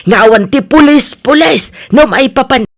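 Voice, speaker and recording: A woman with an Ilocano Filipino accent acting a sharp-tongued, bossy shop-owning aunt in a comedy radio drama, emphatic and nosy. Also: high-pitched, expressive, moderate pace. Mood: scolding.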